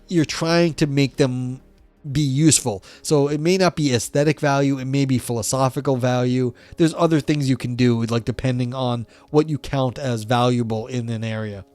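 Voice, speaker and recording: A man with a hoarse, scratchy voice reads philosophy passages aloud on a livestream, then drops into relaxed, self-mocking commentary with the odd swear. He is recorded dry and close on a desk mic, with faint music playing underneath. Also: mid-range voice, normal speed, no echo. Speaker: streamer reading philosophy text. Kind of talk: casual livestream reading and commentary.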